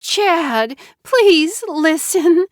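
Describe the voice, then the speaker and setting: A woman voicing a manipulative young bride who pleads in a high, childish little-girl whine while sobbing. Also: animated, slow, a bit bright, no echo, clean studio sound, heavily acted. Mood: pleading tearful. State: tearful, sobbing.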